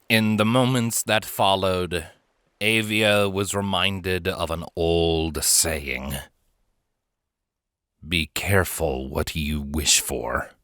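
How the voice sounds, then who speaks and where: A man reading a story as an audiobook narrator, with dramatic, suspenseful and deliberate phrasing. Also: on the low side, a bit bright, no echo.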